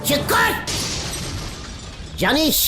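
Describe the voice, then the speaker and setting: A woman's voice: extremely slow, music in the background. A woman voicing a boastful, hot-headed uncle in a cartoon, pompous and bombastic, dropping in bits of English and Hindi. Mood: boastful, indignant.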